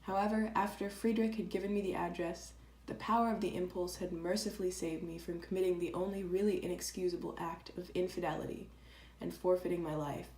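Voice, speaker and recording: A woman playing an earnest, proud and candid letter writer in a dramatized reading, speaking reflectively and deliberately. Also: lowish voice, level tone, darkish tone, lightly acted. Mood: confessional. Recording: echoey room with clear background noise.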